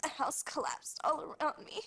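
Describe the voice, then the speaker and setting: A woman playing a distraught disaster victim in a live-action video game, anguished and halting. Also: a bit bright, lightly acted. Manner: anguished, breaking up phrases.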